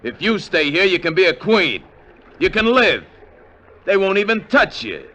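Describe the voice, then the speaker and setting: Sharp-voiced, hard-bitten armed adventurer. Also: male voice, high-pitched, sing-song, normal speed, exaggerated voice. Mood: grimly resolved. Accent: American. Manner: tough, terse, commanding. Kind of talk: 1950s radio drama acting. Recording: noisy vintage 1950 radio recording.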